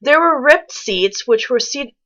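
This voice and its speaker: A woman's voice: sits high, lively intonation, a little slow, pushed and bright, muffled recording. A woman reading a story aloud, stumbling and rereading lines as she goes and dropping in mocking asides. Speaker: reader on a fan fiction reaction show.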